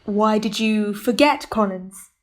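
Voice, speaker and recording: A woman's voice: mid-range voice, takes it slowly, natural voice. A woman playing a stern, commanding ship captain who also narrates diary entries in a radio play.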